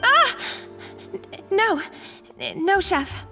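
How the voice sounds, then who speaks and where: Under music and long reverb, a young kitchen cook with a high voice. She is earnest and loyal, hesitant and easily flustered, in a video game style. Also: big pitch swings, extremely slow, pushed and bright, heavily acted. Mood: startled, scared.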